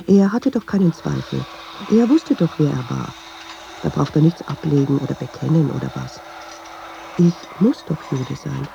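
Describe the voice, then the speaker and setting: Very dark creaky voice, brooding self-questioning woman, wry, radio play, dull noisy recording. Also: medium pitch. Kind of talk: radio play, introspective monologue.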